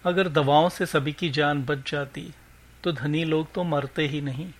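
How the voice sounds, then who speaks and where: A man's voice: fairly high pitch, fairly even intonation, unhurried. A man voicing a humble, affectionate tea seller with a respectful, homely manner in a story reading. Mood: resigned.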